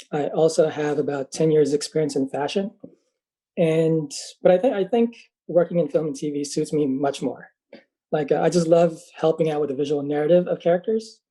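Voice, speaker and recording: A man's voice: on the high side, slightly rough, low-quality recording. A man from the Philippines speaking casually as a panel guest. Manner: relaxed, casual, uses 'like'.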